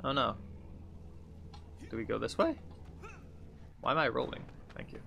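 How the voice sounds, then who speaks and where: A man's voice: mid-range voice, expressive, measured pace, creaky, bright, forceful tone, slight background noise. A man in his thirties giving casual, rambling let's play commentary, thinking aloud as he plays. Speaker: gaming video host. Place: indoors at a computer desk.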